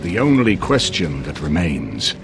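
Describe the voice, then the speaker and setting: A man's voice: extremely low pitch, huge pitch swings, unhurried, gravelly, full character voice. An actor playing a resolute, grave nobleman in a fantasy game, with a formal, stately delivery. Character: grave, resolute noble lord rallying allies. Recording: loud game music under the voice. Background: loud music.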